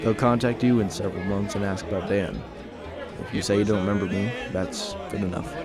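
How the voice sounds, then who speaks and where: Man playing low-voiced weary ex-soldier, noisy surroundings. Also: steady tone, fairly quick, over music, a crowd in the background, close to an everyday voice. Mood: guarded. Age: 40s. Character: weary haunted 40-year-old male ex-soldier in hiding. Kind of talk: audio drama acting and narration.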